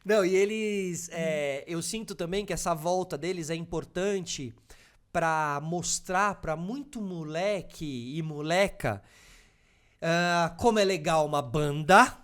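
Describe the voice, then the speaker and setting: A man hosts a laid-back podcast conversation. He talks as an eager music fan, quick to agree and build on what his guest says. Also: on the high side, a bit bright. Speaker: podcast host. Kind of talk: casual interview chat.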